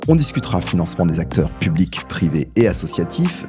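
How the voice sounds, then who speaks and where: A man's voice: low voice, sing-song, brisk. A man reading a scripted podcast outro and preview as the host. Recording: voice over clear music bed. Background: music.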